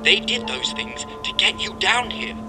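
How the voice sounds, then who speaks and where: Actor playing candid young friend, casual, animated intonation, audio drama, prominent music. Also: mid-range voice, moderate pace, close to an everyday voice. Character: inquisitive, candid young friend on a remote link.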